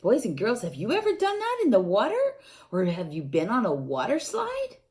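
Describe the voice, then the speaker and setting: A woman reading a picture book aloud to young children, gently asking them questions and adding playful sound effects. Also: mid-range voice, wildly swooping pitch, normal speed. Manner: gentle, coaxing, asks the young listeners questions.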